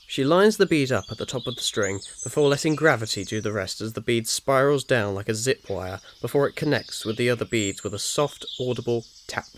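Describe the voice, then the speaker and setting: A man's voice: mid-range voice, animated, a little fast, few pauses. A man reading a scripted second-person ASMR story as its narrator. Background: birdsong, crickets and faint noise.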